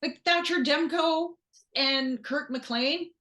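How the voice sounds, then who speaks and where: A woman chatting casually about sports as a podcast guest, excitable and joking as she tells stories. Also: high-pitched, takes it slowly. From Canada. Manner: excitable, animated storytelling, joking.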